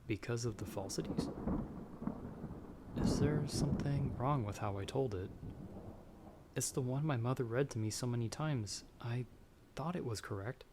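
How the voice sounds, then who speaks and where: A man playing a timid young traveler in an ASMR audio roleplay, speaking softly and hesitantly. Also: medium pitch, normal speed, long pauses, lightly acted. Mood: curious, uneasy. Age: young adult. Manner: soft, hesitant, stammering when afraid. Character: timid young male traveler, storyteller trapped by a vampire. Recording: background storm noise.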